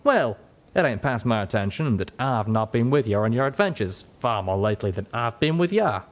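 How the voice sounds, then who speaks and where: A man's voice: medium pitch, expressive, brisk, lightly acted. A man voicing a folksy, wry male flying pony companion in an audiobook, with a drawling country dialect. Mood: wistful. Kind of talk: audiobook character voice.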